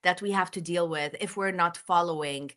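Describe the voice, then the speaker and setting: A woman from Jordan telling her personal story as an interview guest, speaking in an earnest, deliberate way. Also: lowish voice, noisy background.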